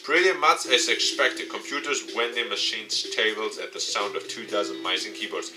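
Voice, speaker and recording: A man's voice: lowish voice, normal speed, over music. A man reading a visual novel game aloud as its host, in a dramatized narrating style.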